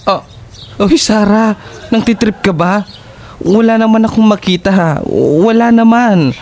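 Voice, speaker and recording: A woman's voice: medium pitch, a little slow, a little mellow, natural voice. A woman playing a nervous, skeptical young tenant who stammers expressively in a horror audio drama.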